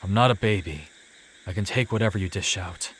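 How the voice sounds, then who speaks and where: An actor playing a gruff, guilt-ridden killer in an audio drama, blunt and awkward in the way he talks. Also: sits low, fairly bright tone. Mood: gruff, guarded. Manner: blunt, awkward, halting when flustered. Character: gruff, guilt-ridden male ex-murderer, shy in love. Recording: music under the voice. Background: music.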